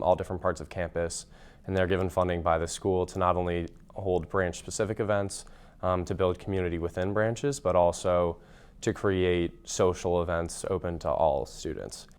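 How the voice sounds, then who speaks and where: Deep, smooth-voiced young man, measured. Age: young adult. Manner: measured, formal, leans on "you know". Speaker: graduating college senior. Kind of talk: interview answers.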